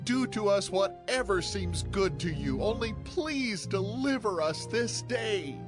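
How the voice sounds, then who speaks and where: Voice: medium pitch, animated, over music. An actor playing a contrite, submissive Israelite humbly begging for deliverance in a dramatized scripture reading. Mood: contrite pleading. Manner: slow, humble pleading.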